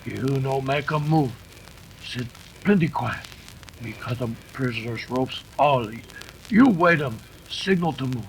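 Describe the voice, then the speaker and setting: Man acting radio western sidekick, slow, gravelly, terse, broken phrases, watchful, faint noise behind. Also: mid-range voice, lively intonation, rough recording. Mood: hushed warning. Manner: clipped, broken pidgin phrases. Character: terse, watchful Native sidekick of a masked cowboy.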